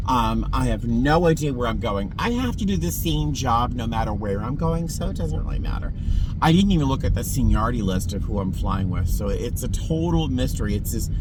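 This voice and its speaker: A man vlogging casually as a flight attendant, talking in a rambling, self-deprecating way with a chesty, slightly raspy voice from allergies. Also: medium pitch, background noise. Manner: rambling, self-deprecating, many fillers and restarts. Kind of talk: casual vlog chat. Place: in a parked car, then airport.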